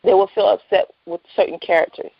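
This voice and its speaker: A woman's voice: on the low side, steady tone, fast, vocal fry, no echo. A woman chatting casually as a guest in a phone interview. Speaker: interview guest, writer and entrepreneur. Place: phone call. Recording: dull lo-fi phone line.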